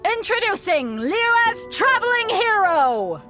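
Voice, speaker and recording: High, lively fantasy tournament announcer. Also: female voice, takes it slowly, soft background music, heavily acted. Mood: grand, excited. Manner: theatrical, projected when announcing. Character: spirited woman announcing a martial tournament, confident and showy. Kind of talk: video game voice acting.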